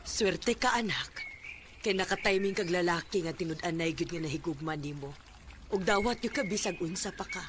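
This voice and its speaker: An actor playing a gentle, approving middle-aged mother in a Bisaya radio drama, with a Cebuano Filipino accent. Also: mid-range voice, normal speed, lightly acted. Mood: approving, hopeful. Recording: noisy radio drama recording.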